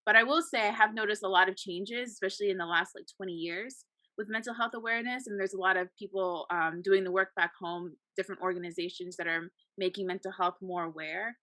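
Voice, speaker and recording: A woman from the United States speaking as a panel guest. Her voice is bright and clear, and she talks in an articulate, measured way. The recording is dry, with no room sound. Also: medium pitch, steady tone, dry recording, poor audio. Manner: articulate, measured, uses fillers. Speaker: guest, psychology PhD candidate. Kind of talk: casual panel conversation.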